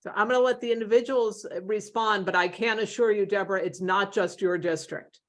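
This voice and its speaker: Mid-pitched woman moderating. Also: brisk, a bit bright. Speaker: meeting moderator. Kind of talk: meeting facilitation.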